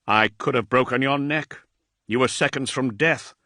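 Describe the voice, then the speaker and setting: A man's voice: mid-range voice, normal speed, pushed and bright, no echo, clean studio sound, pitched above the performer's own voice, close to an everyday voice. A man voicing an ancient vampiric warrior lord, grave and commanding, in an audiobook. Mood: remorseful.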